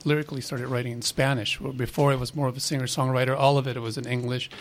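A man from Los Angeles, a musician guest on a radio show, answering in a relaxed, rambling way with lots of fillers. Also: medium pitch, rapid-fire, faint music behind. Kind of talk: casual interview answers.

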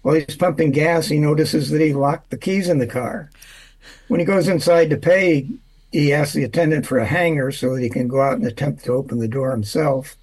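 Easygoing man slightly high voice. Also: brisk, breathy edge. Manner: relaxed, drawn out with lots of uhs. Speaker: weather forecaster and humor guest. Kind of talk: casual radio chat.

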